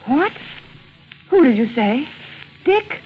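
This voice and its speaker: High-voiced young wife in vintage radio drama. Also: female voice, big pitch swings, slow pace, soft background music, exaggerated voice. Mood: startled, nervous. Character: affectionate young newlywed wife, spirited and anxious. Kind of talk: 1940s radio drama acting. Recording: noisy muffled vintage radio recording.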